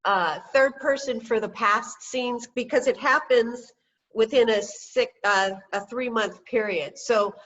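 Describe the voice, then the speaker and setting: A woman in her sixties chatting easily as a podcast host, joking and laughing as she goes. Her voice sits a little high, and the video-call audio is dull and lo-fi. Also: a little slow, a bit bright. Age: 60s. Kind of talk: casual chat over a video call.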